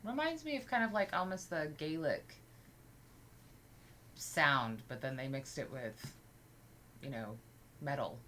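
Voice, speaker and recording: A woman with a low voice co-hosting a music reaction, chatting casually and explaining background details. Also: lively intonation, a little slow, pauses often, pushed and bright, slight background noise. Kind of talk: casual chat.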